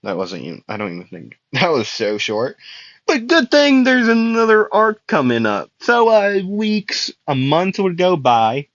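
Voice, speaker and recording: Young guy rambling slowly thru a fan story, lots of uhs and laughs, kinda dull dry mic. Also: sits high, sing-song, dry recording. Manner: rambling, laughs often, many fillers. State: losing his voice. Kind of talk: casual spoken fan story narration.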